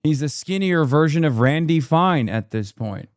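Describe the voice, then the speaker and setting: A man's voice: on the high side, lively intonation, no room sound. Sarcastic man, dry close mic. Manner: combative, sarcastic, rapid rebuttals. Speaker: streamer reacting to a clip. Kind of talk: political commentary, casual.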